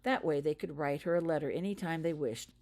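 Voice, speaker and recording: A woman's voice: sits low, a little fast, darkish tone. A woman reading her own story aloud as scripted audiobook-style narration. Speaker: author reading own fan fiction aloud.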